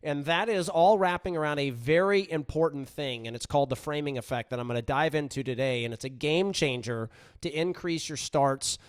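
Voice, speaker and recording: A man hosting his own podcast in an upbeat, energetic manner, his voice still slightly raspy from a recent voice loss. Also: on the high side, a little fast, no room sound. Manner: upbeat, energetic, lots of shout-outs. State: recovering from voice loss. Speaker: podcast host. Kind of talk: casual podcast monologue.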